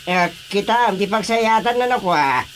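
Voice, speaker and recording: Swooping, bossy Ilocano village uncle. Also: male voice, high-pitched, animated, normal speed, noisy background. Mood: hearty, blustering. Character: village chairman, bossy comic older uncle. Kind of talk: Filipino radio drama comedy acting.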